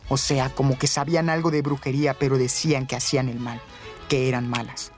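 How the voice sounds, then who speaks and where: A man's voice: medium pitch, little pitch movement, fairly quick, soft background music. A man, narrating a radio story in colloquial, scripted speech.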